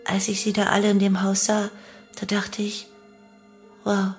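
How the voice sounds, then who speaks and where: An actress playing a young cult killer who confesses in a detached, matter-of-fact way in a radio play. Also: female voice, mid-range voice, completely flat delivery, a little slow, faint music behind, lightly acted. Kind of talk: radio play monologue in testimony form.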